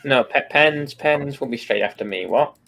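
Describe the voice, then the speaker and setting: A man's voice: medium pitch, moderate pace, creaky, noisy background. A man with a Welsh accent bantering casually as co-host, often stammering and restarting his words. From Wales.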